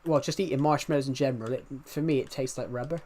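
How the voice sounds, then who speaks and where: A young man from the UK talks with a thick regional British accent at an ordinary middle pitch. He chats casually with his stream viewers and rambles from one thing to the next, often breaking off to restart what he is saying. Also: quick pace, a little mellow, a little hiss or hum. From United Kingdom. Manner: rambling, many restarts, chatty and candid. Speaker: live streamer. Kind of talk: casual chat with live viewers.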